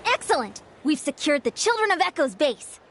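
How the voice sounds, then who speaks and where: A woman's voice: high-pitched, very animated, moderate pace, background noise. A woman voicing an earnest young female squad leader in a video game, tactical and sincere.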